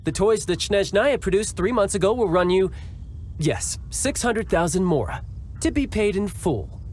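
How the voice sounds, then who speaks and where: An actor playing a suave, smug young fighter in a video game cutscene, talking in a smooth, mocking, politely condescending way. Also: male voice, high-pitched, lively intonation, moderate pace, a little mellow, slight background noise.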